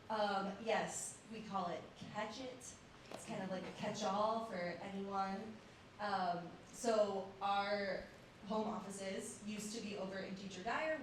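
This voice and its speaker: A woman's voice: medium pitch, noisy background. A woman on a panel describing her work in a chatty, self-deprecating way. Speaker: panelist, program manager. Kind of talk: casual panel talk. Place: lecture room. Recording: very echoey hall, distant mic.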